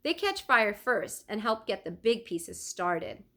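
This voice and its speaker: A woman's voice: medium pitch, very animated, slow pace, pushed and bright, exaggerated voice. A woman voicing an eager young boy from a children's storybook, with a simple, helpful manner.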